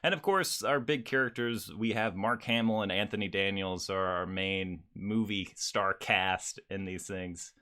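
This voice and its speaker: Man, podcast co-host, very bright forceful voice, casual chat, enthusiastic. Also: on the low side, fairly even intonation, measured pace, hardly any pauses.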